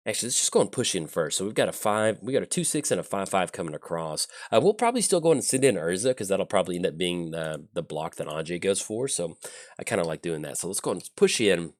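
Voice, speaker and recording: A low-voiced man talks through his card game moves in a loose, thinking-aloud way, full of ums and uhs. His delivery swings up and down with lively intonation. He is getting over a chest cold, so his throat sounds a little scratchy. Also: fast talker. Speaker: channel host. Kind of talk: casual card game commentary.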